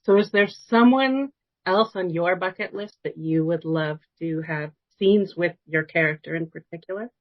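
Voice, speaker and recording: A woman's voice: on the low side, moderate pace. A woman interviewing a guest in a casual, conversational style. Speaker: podcast interviewer. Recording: dull lo-fi audio.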